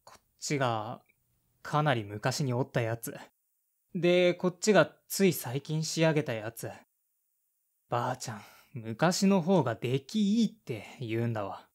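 A man's voice: medium pitch, animated, unhurried, close to an everyday voice. A man playing a blunt, awkward man of thirty-five in an audio drama, in casual dialogue and brooding narration. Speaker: lead character and narrator.

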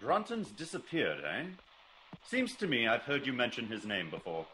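An actor playing a shrewd, self-assured gentleman detective in a classic radio drama, brisk and incisive. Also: medium pitch, wildly swooping pitch. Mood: dry, probing. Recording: noisy old radio recording with clicks.

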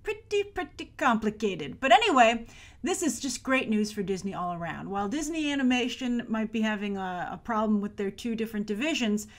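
An American woman in her thirties hosting chatty box office commentary to camera, with emphatic delivery and stressed, drawn-out words. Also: a little high, lively intonation. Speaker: movie news show host.

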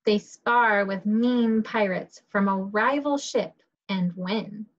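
A woman with a mid-pitched voice reads a storybook to children in the role of teacher. The audio is slightly muffled, coming over a video call. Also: slow pace. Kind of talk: picture book read aloud for children. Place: indoors, on a group video call.

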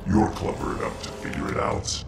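A man voicing a menacing, contemptuous cyborg ninja in a fighting game, with gravelly, metallic robot processing and cold, declamatory threats. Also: a little fast, over loud music. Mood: cold menace. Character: menacing, contemptuous male cyborg ninja assassin bent on killing. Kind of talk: video game fighting dialogue.

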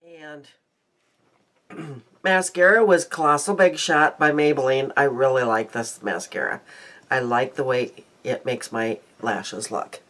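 A woman's voice: very deep voice, a little slow, a bit bright. An older woman chatting casually to her webcam in a small room at home, rambling and restarting her sentences, her nose congested. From Midwestern United States. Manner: rambling, self-interrupting, chatty. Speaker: beauty vlogger. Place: small cluttered room indoors. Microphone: webcam at desk.

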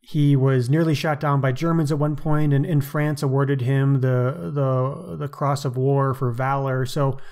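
A man's voice: mid-range voice, fairly even intonation, a little slow, hardly any pauses, a little mellow. A man co-hosting a podcast, talking casually and wryly about books with slangy asides. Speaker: podcast co-host. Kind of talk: casual book discussion.

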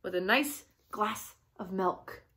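A woman voicing a big, ugly male troll in a children's story, hungry and bullying, with roaring, drawn-out threats. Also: medium pitch, sharp tone, pitched below the performer's own voice. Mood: menacing, gloating. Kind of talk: animated children's storybook reading.

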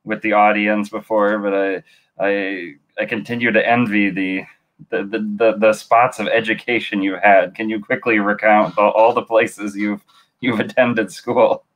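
A young man hosting a video call interview, asking questions with halting, repeated words. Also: on the low side, level tone, a bit bright, poor audio. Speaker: interview host. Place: indoor room. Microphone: webcam on a video call.

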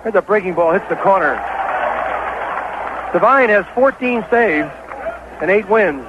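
A high-voiced American man calls a baseball game in 1970s radio style. He is an excitable, openly partisan play-by-play announcer. The old broadcast recording sounds dull, with clear ballpark noise behind him. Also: measured pace, crowd noise. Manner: excitable, partisan home-team caller. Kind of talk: 1970s live radio sports commentary.

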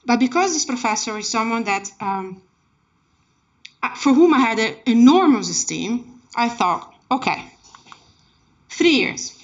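A woman speaks at a lectern with a fairly high voice, telling her story as an academic lecture. Her delivery is emphatic and passionate, leaning on key words. The recording from the seminar room is slightly muffled and lacking in detail. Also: expressive, unhurried, pauses often. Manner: emphatic, dramatic, impassioned delivery. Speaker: keynote speaker, academic researcher.

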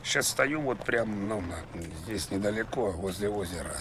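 Rambling low-voiced stranded driver played by a man. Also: animated, moderate pace, background noise, natural voice. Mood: rambling, worried. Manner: rambling, repetitive, hesitant. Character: rambling confused stranded male driver, a father. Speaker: prank caller, game streamer. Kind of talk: prank phone call.

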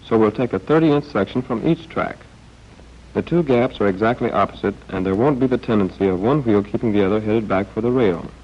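Low-voiced American man, dull old film soundtrack. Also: a little fast. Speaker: training film narrator. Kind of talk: scripted 1940s training film narration. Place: voice-over recorded for a film.

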